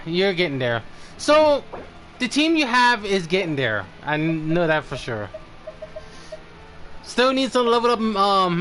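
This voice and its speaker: Animated, high-pitched male game streamer. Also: slight background noise. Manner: frequent swearing. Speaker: streamer reviewing game characters. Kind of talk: casual live game stream commentary.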